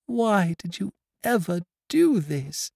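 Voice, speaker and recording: A man's voice: expressive, measured pace, darkish tone, no echo, clean studio sound. A man voicing an anguished blind young woman. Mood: anguished, ecstatic. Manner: pleading, high and strained.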